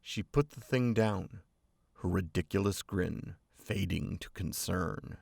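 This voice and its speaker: A man narrating a story in a measured, reflective way. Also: low voice, gravelly, dry recording, clean studio sound, exaggerated voice. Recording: loud music bed under voice.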